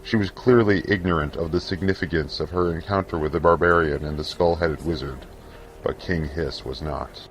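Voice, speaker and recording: A man reading scripted fantasy narration for an audio drama in a solemn, measured, theatrical way. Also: a bass voice, fairly even intonation, slight background noise, poor audio.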